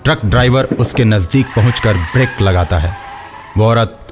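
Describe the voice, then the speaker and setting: A man's voice: on the low side, normal speed, music in the background. A man narrating a scripted horror story as the storyteller.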